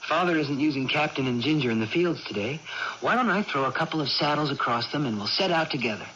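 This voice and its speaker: An actor playing a gentle, anxious, protective young farm brother in a vintage radio drama, earnest and cautious. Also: male voice, a little high, lively intonation, fast talker. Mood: gentle, coaxing. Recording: muffled noisy old radio broadcast.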